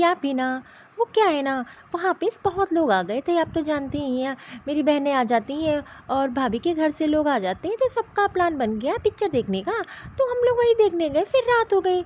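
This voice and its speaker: Woman acting a very high, breezy cartoon daughter-in-law. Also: animated, normal speed, a little mellow, a little hiss or hum. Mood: defensive. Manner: offhand, defensive, brushes complaints aside. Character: breezy, excuse-making young Indian daughter-in-law. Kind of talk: acted Hindi cartoon family story. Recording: dull, lo-fi cartoon voice track.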